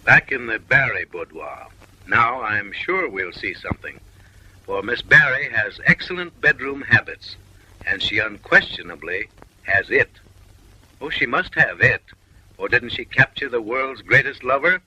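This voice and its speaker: A man's voice: medium pitch, animated, measured pace, bright, forceful tone, slightly rough, low-quality recording. A man narrating a comic short film in voice-over, mock-refined and wry, lecturing ladies with teasing, suggestive asides.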